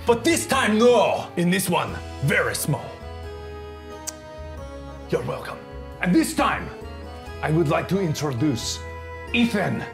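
A man's voice: a little high, big pitch swings, takes it slowly, vocal fry, long pauses, over music, heavily acted. A man playing a pompous, theatrical French artist host, in a mock French accent with broken English. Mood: grandiose. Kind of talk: comedic art show hosting.

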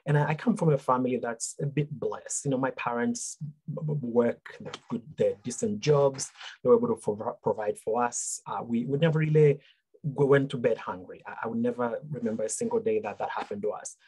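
A man's voice: medium pitch, a little fast, a little mellow, husky, poor audio. A middle-aged man from Tanzania answering interview questions over a video call, earnest and reflective, often restarting his words. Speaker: guest speaker.

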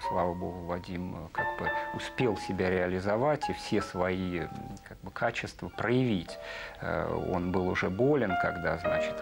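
A middle-aged man recalls the past in a documentary interview. His voice is very low and creaky, and he pieces his thoughts together haltingly. Soft music plays faintly behind him. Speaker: film director and artist, interviewee. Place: indoor studio among film sets. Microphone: lapel.

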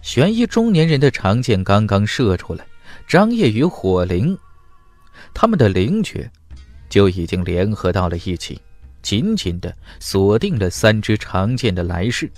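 Male fantasy audiobook narrator, dramatic and very varied in pitch, dry close sound. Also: big pitch swings, unhurried, a little mellow, no room sound, faint music behind.

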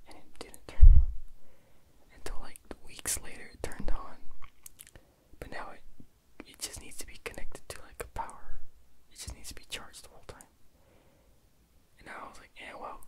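A young adult whispers right up close to the microphone as an ASMR host. The delivery is fully unvoiced and breathy, with a loose, rambling way of chatting that is full of casual slang.

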